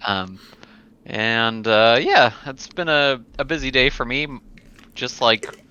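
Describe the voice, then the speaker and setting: A man's voice: mid-range voice, takes it slowly, sharp tone, clear, resonant tone. A man chatting casually as a player on a remote tabletop game podcast. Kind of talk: casual chat. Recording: dull lo-fi call, faint noise.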